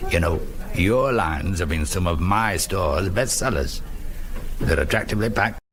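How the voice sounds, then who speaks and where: A hearty, nosy English businessman in a British radio play. He has a very low voice and chats familiarly, with a flattering, teasing manner. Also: measured pace, a little hiss or hum. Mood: jovial. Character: genial, nosy store buyer, flattering and teasing. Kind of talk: British radio drama acting.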